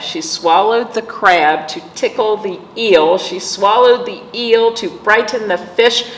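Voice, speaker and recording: An echoey room surrounds a woman reading aloud to young children. Her pitch moves up and down in a lively sing-song, and she takes her time with each phrase, speaking straight to her small listeners as a storytime host. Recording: echoey reverberant room, clear background noise.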